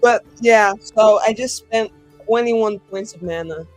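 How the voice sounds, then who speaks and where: A woman's voice: mid-range voice, measured pace, soft background music, rough recording. A woman player casually improvising narration of her character's actions in a tabletop game.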